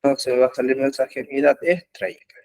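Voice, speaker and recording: A man teaching an online web development class, explaining code slowly with frequent pauses and fillers. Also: medium pitch, fairly even intonation, darkish tone, dry recording. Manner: slow, halting explanation with many pauses and fillers. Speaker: programming instructor. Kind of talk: live online coding class. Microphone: computer mic in an online class.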